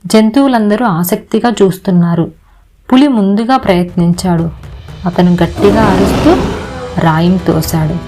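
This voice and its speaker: A woman's voice: medium pitch, normal speed, warm tone. Woman narrating a children's story over music.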